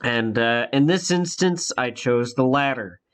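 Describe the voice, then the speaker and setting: A man's voice: mid-range voice, unhurried, smooth. A congested young man telling a rambling personal story in a casual vlog, with many fillers and restarts. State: congested from a cold.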